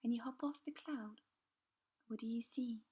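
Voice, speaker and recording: A woman guiding a healing visualization in a soft, slow, soothing whisper. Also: on the high side, husky. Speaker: meditation guide.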